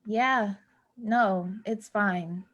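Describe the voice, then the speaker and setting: A woman's voice: medium pitch, drawn-out words, a bit bright, lo-fi sound. An actress playing a hurt, guarded woman brushing off concern in a staged play reading. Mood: curt, wounded.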